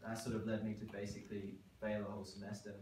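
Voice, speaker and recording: A man playing a reflective 28-year-old man, speaking haltingly with many fillers, recorded from afar in a small theatre. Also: lowish voice, completely flat delivery, darkish tone, lightly acted. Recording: echoey room, distant camera mic, background noise.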